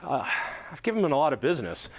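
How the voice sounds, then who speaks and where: A man's voice: a little high, very animated, fast, a little mellow. A man hosting an interview, teasing his guest in a joking way. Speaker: talk show host.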